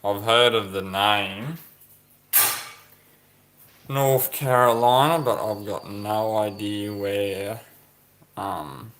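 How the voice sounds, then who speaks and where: A man's voice: on the low side, fairly bright tone, slight background noise. A man hosting a casual livestream, reading viewer comments aloud and musing over them. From Australia. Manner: slow, musing, reads comments aloud and ponders. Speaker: livestream host reading chat.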